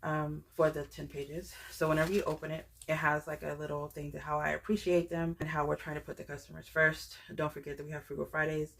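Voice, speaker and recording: Low gravelly-voiced chatty woman. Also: little pitch movement, fairly quick, few pauses, fairly bright tone. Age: 30s. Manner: rambling, chatty, many fillers and restarts. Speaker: budgeting channel creator. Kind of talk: casual chat showing products.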